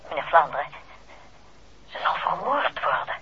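A woman's voice: sits low, very animated, moderate pace, pushed and bright, heavily acted. An actress playing an anxious, secretive married woman speaking urgently over a telephone in a 1960s radio drama. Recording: muffled noisy old radio, phone line.

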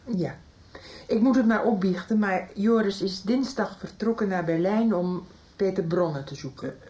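A woman's voice: mid-range voice, moderate pace, mellow, close to an everyday voice. A middle-aged actress playing an anxious mother who apologizes and pleads about her runaway son, in a 1990s radio drama. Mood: worried.

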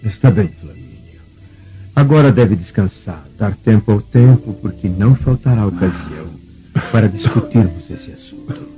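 An actor playing a dignified, consoling Roman senator in a Brazilian radio drama, speaking formally and with measure in a Brazilian accent. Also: male voice, lowish voice, animated, normal speed, natural voice. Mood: soothing. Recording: muffled audio with faint music.

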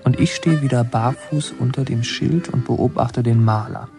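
Male actor as thoughtful narrator looking back on his childhood, literary radio play, deliberate and evocative, music behind him. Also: mid-range voice, vocal fry, natural voice. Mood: wistful, absorbed. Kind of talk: literary radio drama narration, present tense. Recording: music under the voice.

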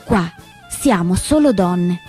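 An actress playing a spirited, naive young Italian partisan girl in an animated, emphatic theatre monologue. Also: lowish voice, takes it slowly, soft background music. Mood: breathless storytelling. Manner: animated, emphatic, swinging between hushed narration and exclamation.

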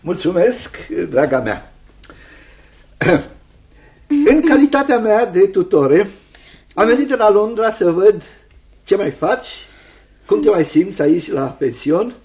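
A man playing a kindly, awkward elderly guardian and lawyer in a radio drama, speaking formally and haltingly with many repetitions. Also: sits high, sing-song, darkish tone. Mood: awkwardly genial.

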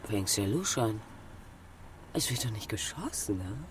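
An actress playing a brash, mocking, capricious 1920s Parisian courtesan in a radio play, theatrical and drawling. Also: female voice, very deep voice, big pitch swings, a little slow, far from the mic, noisy background, full character voice. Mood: excited, teasing. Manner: theatrical, drawling, swinging from murmurs to shrieks.

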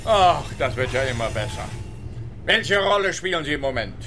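An actor playing a pompous, sarcastic, exasperated police officer with a Swiss accent in a comic radio crime play. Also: male voice, on the high side, big pitch swings, moderate pace, sharp tone, a little hiss or hum, heavily acted.

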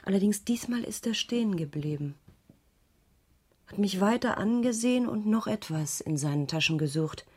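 An actress playing a troubled, evasive schoolteacher in a radio crime drama, hesitant and subdued, with a breathy voice that drops to near whispers. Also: female voice, medium pitch, animated, moderate pace, a little mellow. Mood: troubled recollection. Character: troubled, evasive female schoolteacher under police questioning. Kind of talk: 1980s German radio crime drama acting.

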